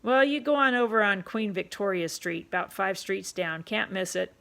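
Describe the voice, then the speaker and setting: A woman's voice: mid-range voice, steady tone, normal speed, a bit bright. A woman doing a brusque, coarse pub owner. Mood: gruff, bossy. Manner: rough, ungrammatical talk, bossy.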